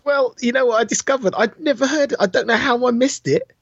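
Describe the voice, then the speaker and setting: A man's voice: extremely high pitch, brisk, husky, no echo. A man chatting casually and enthusiastically as a podcast co-host, with informal, emphatic delivery. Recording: dull, muffled lo-fi audio.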